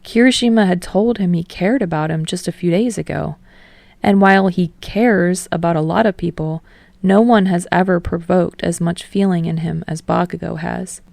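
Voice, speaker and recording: A woman's voice: on the low side, moderate pace, vocal fry, darkish tone, no echo. A woman reading a story aloud as scripted audiobook narration. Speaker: audiobook reader of fan fiction.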